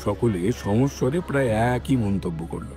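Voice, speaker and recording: A man's voice: on the low side, lively intonation, normal speed, over music. A man narrating a scripted dramatic story as the narrator of an audio production.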